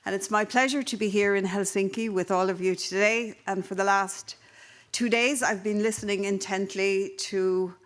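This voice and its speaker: A woman with an Irish accent moderating a conference panel, measured and steady, with frequent fillers and restarts. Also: medium pitch. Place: conference hall.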